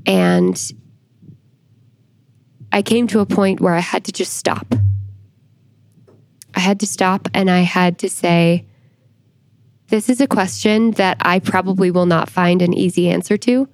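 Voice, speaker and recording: A woman answering as an expert guest in an interview, giving a reflective personal account in a measured way with careful pauses. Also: on the low side, lively intonation, no room sound. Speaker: guest church historian.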